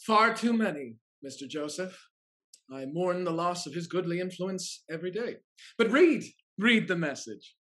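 A man's voice: high voice, animated, normal speed, fairly bright tone. An actor in his thirties playing a loyal, deferential old family steward in a period stage comedy, formal and hearty. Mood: cheerful, deferential. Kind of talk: theatrical period comedy acting. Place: room at home on a video call.

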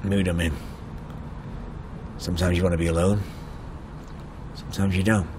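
Clear vehicle noise surrounds a lowish-pitched male driver played by a man in a radio play. He makes chatty, hesitant small talk and keeps probing his passenger. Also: level tone, normal speed, a little mellow, close to an everyday voice. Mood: guarded, casual. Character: chatty, probing male motorist, insurance assessor. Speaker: actor in a radio drama. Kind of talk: naturalistic radio drama dialogue. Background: car engine and road ambience.

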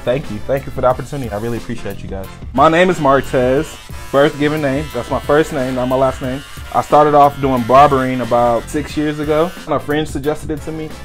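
A man's voice: medium pitch, a little fast, faint music behind. A young man, a barber and shop owner, talking casually in an interview while cutting hair, explaining as he works in a small barbershop. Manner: relaxed, chatty, explains as he works. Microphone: lapel mic.

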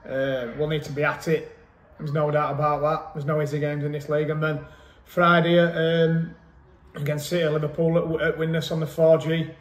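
A man, a football manager giving a measured, matter-of-fact post-match interview with frequent hesitant ums. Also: fairly high pitch, level tone. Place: small indoor club office. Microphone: camera mic at close range. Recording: echoey room, faint background noise.

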